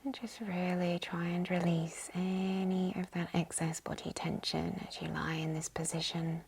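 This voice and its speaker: Female meditation guide, very breathy and near-whispered, slightly low, unhurried and soothing delivery. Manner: calm, gentle, unhurried. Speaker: yoga nidra guide. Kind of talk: guided meditation, slow hushed instruction.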